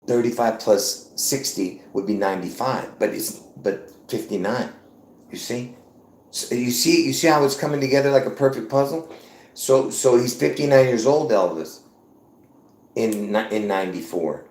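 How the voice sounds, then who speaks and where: A man in his fifties running a live stream, circling back and repeating phrases as he presses his point on viewers. His voice sits at an ordinary middle pitch for a man. The room gives everything a noticeable echo. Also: darkish tone. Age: 50s. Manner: repetitive, insistent, addresses viewers as folks. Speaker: livestream host. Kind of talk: rambling live-stream monologue. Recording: roomy echo.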